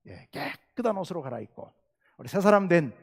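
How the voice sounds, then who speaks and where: A man's voice: high voice, very animated, fairly quick, vocal fry, slightly rough. A middle-aged male pastor from South Jeolla with a Jeolla Korean accent, preaching a sermon with earnest emphasis and rhetorical questions. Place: church sanctuary. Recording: long church reverb.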